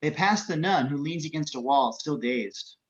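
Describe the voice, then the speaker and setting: A man's voice: a little high, lively intonation, normal speed, highs cut off. A man narrating screenplay action descriptions as scripted narration. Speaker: narrator of stage directions.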